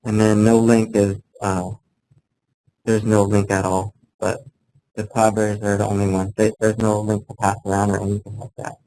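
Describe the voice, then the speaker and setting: A fairly low-pitched man gives an informal workplace software training talk. He explains things plainly and haltingly, checking in as he goes. There is clear background noise in the room. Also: level tone, low-quality recording. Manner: halting, plain-spoken explaining, often checking in with "okay" and "right". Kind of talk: informal instructional presentation. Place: indoor room, live talk to colleagues.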